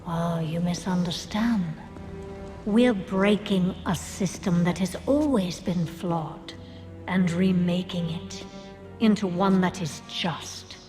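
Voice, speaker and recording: An actress playing a cold, commanding, bitter villainess in a game cinematic, sardonic and deliberate. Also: female voice, fairly low pitch, darkish tone. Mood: grim conviction. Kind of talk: dramatic game cinematic acting. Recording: long reverb under cinematic music.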